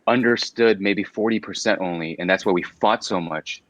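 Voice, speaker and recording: An American man voice actor telling stories as an interview guest, animated and often repeating himself. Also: on the low side, moderate pace, no echo. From United States. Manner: animated storytelling, repeats words. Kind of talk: casual interview chat.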